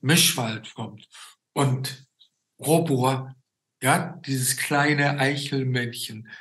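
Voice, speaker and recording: A man, interviewing conversationally in a halting way, often adding a questioning tag. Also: medium pitch, a bit bright. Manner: halting, often tagging sentences with a questioning 'ne?'. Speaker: interviewer and show host. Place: indoor room at home, on a video call. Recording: roomy, echoing video call.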